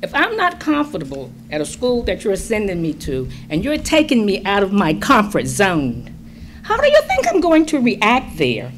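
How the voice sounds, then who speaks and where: A woman's voice: medium pitch, huge pitch swings, moderate pace, slight background noise. A middle-aged woman speaking at a school board public forum, earnest and pleading, stressing words as she goes. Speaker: public commenter. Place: large school meeting room. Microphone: standing mic at a distance.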